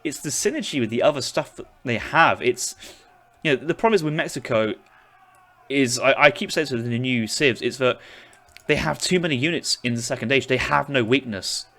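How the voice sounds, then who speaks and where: A man's voice: mid-range voice, expressive, brisk, bright, forceful tone. A young man casting a strategy game tournament, breaking down units and matchups as he thinks aloud. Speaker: esports caster.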